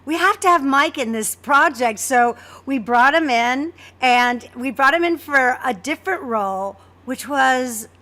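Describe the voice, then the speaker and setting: A woman's voice: high voice, animated, measured pace, hardly any pauses, sharp tone. A woman hosting a podcast in casual chat, effusive, often repeating and restarting her phrases. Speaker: podcast host, co-creator and voice actress.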